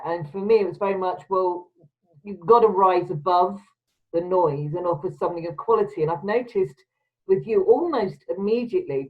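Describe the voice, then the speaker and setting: A woman, hosting a casual video-call chat, emphatic and quick to laugh. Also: fairly low pitch, narrow, tinny audio. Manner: chatty, laughs often, emphatic. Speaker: podcast host.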